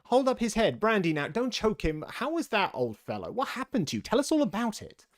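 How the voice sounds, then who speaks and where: Man doing excitable high-pitched bickering little animals. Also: brisk. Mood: excited, urgent. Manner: bossy, excitable. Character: bustling, bickering crowd of small rustic animals.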